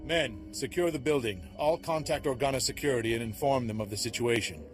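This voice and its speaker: A man's voice: mid-range voice, fairly quick, over music, close to an everyday voice. Man acting a commanding, stern guard captain. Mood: stern, official. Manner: commanding, clipped. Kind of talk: scripted video game acting.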